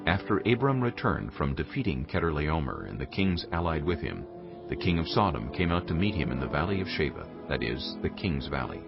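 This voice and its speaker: A man with an extremely deep voice narrates scripture as measured storytelling. His delivery is expressive and varied in pitch, over music on a slightly muffled recording. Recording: dull, band-limited stream with music. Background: clear music.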